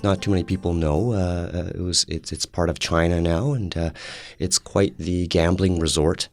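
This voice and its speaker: A man in his fifties whose first language was Cantonese, speaking as an interview guest in a thoughtful, halting way. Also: sits low, fairly even intonation, faint music behind. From Canada. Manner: thoughtful, halting, with frequent fillers and restarts. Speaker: interview guest, public servant. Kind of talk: casual interview chat.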